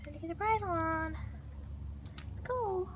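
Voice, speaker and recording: Young girl chatting slow n high to her horse, phone mic outside at the barn, lots of noise. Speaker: horse owner filming her ride. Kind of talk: casual vlog narration. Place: outdoor barn and arena. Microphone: phone held in hand while riding. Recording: noisy outdoor phone recording.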